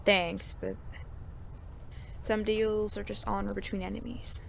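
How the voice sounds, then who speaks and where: A woman's voice: medium pitch, level tone, a little slow, slight background noise. A woman playing a weary, honorable, teasing ex-sheriff gunslinger in a western audio drama, with a drawl of frontier slang. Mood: weary, guilty. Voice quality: gravelly creak.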